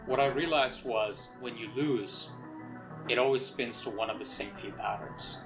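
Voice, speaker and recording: A man's voice: medium pitch, moderate pace, dull audio, over music, natural voice. An actor playing a curious, fixated man who narrates his video game in a musing, explanatory way. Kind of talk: natural found-footage let's-play narration.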